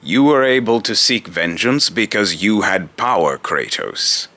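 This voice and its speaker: A man voicing a menacing, scornful god of fear as a video game villain, pompous and theatrical, with a very deep, gravelly voice. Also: expressive, a little slow, sharp tone, no room sound. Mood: accusing.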